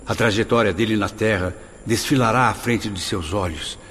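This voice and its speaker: A man's voice: fairly low pitch, moderate pace, a bit bright, a little hiss or hum, lightly acted. A man acting in a radio drama as an earnest spirit who recounts what he witnessed, grave and emphatic. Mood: earnest, observing.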